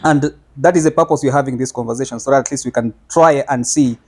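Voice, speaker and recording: Man on a podcast panel, mid-pitched, halting delivery full of "uh" and restarts, casual conversational tone. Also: darkish tone, husky. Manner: hesitant, many fillers and restarts. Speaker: podcast discussant introducing the topic.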